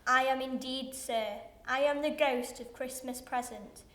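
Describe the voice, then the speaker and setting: A woman's voice: high voice, fairly even intonation, a little slow, fairly bright tone. A teenage girl playing an eerie, commanding ghost in a radio play. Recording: echoey room with faint noise.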